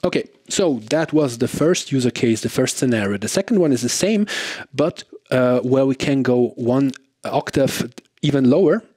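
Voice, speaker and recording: Guy doing a casual gear review, explains stuff conversationally, mid pitch voice, really dry sound. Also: expressive, moderate pace, a little mellow, slightly rough, no room sound. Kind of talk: casual product demo talk to camera.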